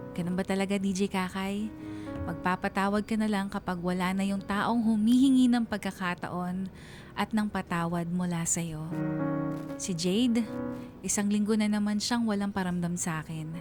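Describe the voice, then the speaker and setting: Woman as wistful young narrator, medium pitch. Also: close to an everyday voice. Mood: reflective, resigned. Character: wistful young woman letter writer narrating her story. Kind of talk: confessional radio drama narration.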